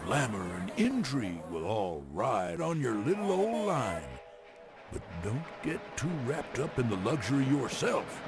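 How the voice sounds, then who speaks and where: An actor playing a crusty, teasing old American railroad man, narrating game cutscenes in a folksy, theatrical way with a gravelly voice. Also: medium pitch, sing-song, unhurried, over music. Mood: storytelling. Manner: folksy, scolding, colorful old-time slang.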